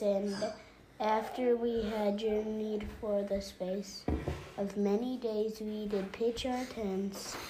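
Slow, careful woman reading scripture aloud. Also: mid-range voice, very noisy. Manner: careful, halting reading with occasional coughs and self-corrections. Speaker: family scripture reader.

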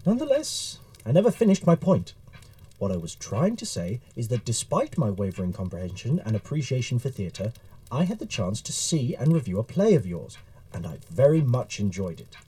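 Casting: male actor as awkward, earnest Victorian man of letters, soft dark tone, lively melody, formal and wry, for audio drama. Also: medium pitch, normal speed, no room sound.

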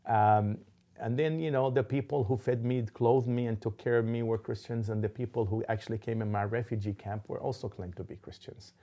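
A man's voice: medium pitch, fairly even intonation, warm tone. A middle-aged man from Lebanon, an imam speaking as a guest in an interview, with frequent ums and restarts. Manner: halting, many ums and restarts. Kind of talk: interview conversation.

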